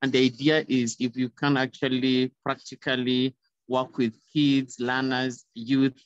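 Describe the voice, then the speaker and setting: A man's voice: mid-range voice, little pitch movement, measured pace, smooth, no echo. Muffled young Kenyan man, dry sound. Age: young adult. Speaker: panelist, youth conservation leader. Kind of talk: informal panel answer. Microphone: video call, close device. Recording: muffled, dull video call audio.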